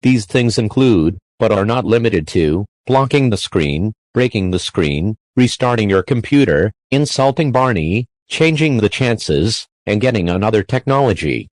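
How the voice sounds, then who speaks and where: Low formal emergency alert man. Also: animated, measured pace, few pauses, no echo. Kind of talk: scripted emergency broadcast.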